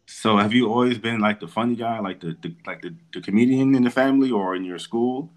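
A man hosts a relaxed podcast interview in a low voice. He is chatty and quick to tease and joke with his guest. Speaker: podcast host.

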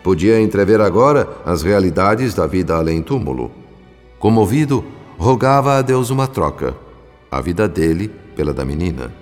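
A man with a Brazilian accent playing a solemn, compassionate narrator, telling a radio drama in measured literary phrases. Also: sits low, lively intonation, a little mellow. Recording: long reverb with music behind. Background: music.

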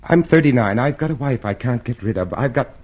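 A man's voice: medium pitch, animated, a little fast, husky, lo-fi sound. An actor playing a weary, furtive middle-aged man in love in a radio drama, earnest, hesitant and brooding. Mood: tender, anxious.

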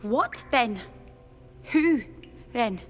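A woman's voice: on the high side, animated, very slow, soft background music. An actress playing a frightened but defiant young woman in a gothic audio drama, urgent and insistent. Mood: demanding.

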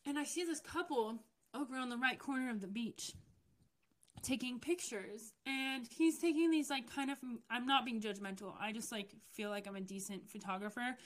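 A woman's voice: a little high, moderate pace. A young woman from the US telling personal stories in a chatty, candid way, with frequent fillers and self-corrections. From United States. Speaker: podcast host. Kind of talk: casual personal storytelling.

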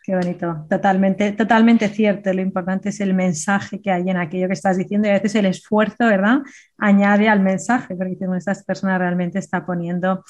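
Woman, interview host, middling pitch, affirming, hesitant. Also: level tone, brisk. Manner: warm affirmations, hesitant restarts. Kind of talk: conversational interview.

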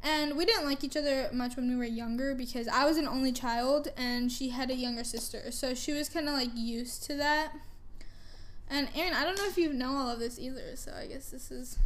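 A woman's voice: sits high, brisk, a bit bright, a little hiss or hum. A teenage girl raised in Canada, hosting a casual podcast chat and rambling through stories with frequent laughs. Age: teens. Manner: chatty, rambling, laughs often. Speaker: podcast host.

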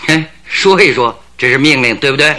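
An actor playing a self-assured male detective in a radio drama, recounting a case in a teasing, deliberate, emphatic way. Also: mid-range voice, animated, sharp tone. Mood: teasing, amused. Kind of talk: radio drama acting, storytelling dialogue.

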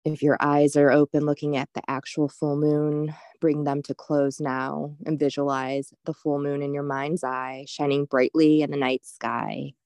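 A woman leading a guided meditation in a soothing, unhurried, gently instructive way. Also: low-pitched, flat delivery, no room sound.

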